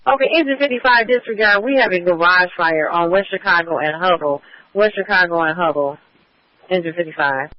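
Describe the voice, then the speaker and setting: A man's voice: high-pitched, a little fast, dry recording. A man working as a fire department radio dispatcher, reading back each unit's call in clipped, formal radio phrasing. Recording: muffled radio transmission.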